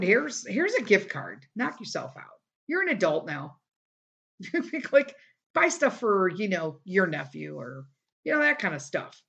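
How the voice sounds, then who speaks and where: A woman's voice: medium pitch, fairly bright tone, dull audio. A middle-aged woman talks through her own podcast in a loose, candid ramble full of "like" and "you know." Her voice sounds tired and worn from a long day of recording, and she speaks close to the microphone. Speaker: podcast host. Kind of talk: casual solo podcast talk.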